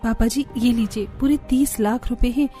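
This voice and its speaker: A woman's voice: fairly high pitch, normal speed, mellow, faint music behind, close to an everyday voice. A woman voicing a determined, dutiful young daughter-in-law in a cartoon, earnest and reassuring.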